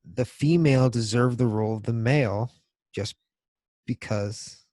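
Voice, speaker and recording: A man's voice: medium pitch, unhurried, darkish tone, dry recording. A man co-hosting a podcast in casual, joking chat, slangy and swearing now and then. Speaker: podcast co-host.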